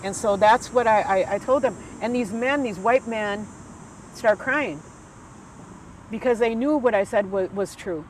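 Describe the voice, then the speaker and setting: A woman's voice: medium pitch, unhurried, a little hiss or hum, rough recording. A woman in her sixties, an elder talking earnestly and from the heart, with many fillers and restarts. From United States. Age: 60s. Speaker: Ojibwe elder and guest. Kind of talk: conversational storytelling.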